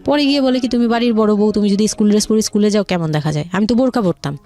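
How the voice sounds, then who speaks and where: A woman's voice: medium pitch, very fast, dark tone. A woman with a Bangladeshi accent telling her personal story as an interview guest, colloquially, often repeating and rephrasing herself.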